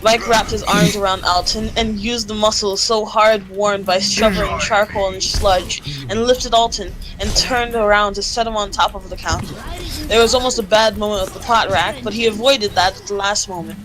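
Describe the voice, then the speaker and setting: A woman's voice: mid-range voice, steady tone, moderate pace, music in the background, low-quality recording. A woman reading fan fiction aloud in a casual group call, giggly and often stumbling and repeating phrases. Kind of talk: amateur read-aloud of fan fiction during casual chat.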